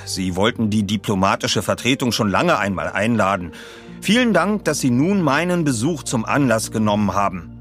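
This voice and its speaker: A man's voice: medium pitch, fairly bright tone, voice pushed up. A man voicing a formal dignitary who gives a gushing, ceremonious thank-you speech in an audio story.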